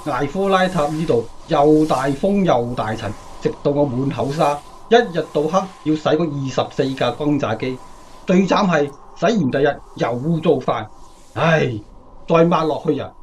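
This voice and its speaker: A man's voice: on the high side, moderate pace, a little mellow, a little hiss or hum, natural voice. Man as blunt, grumbling young airman. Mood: exasperated. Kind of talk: Cantonese radio drama acting.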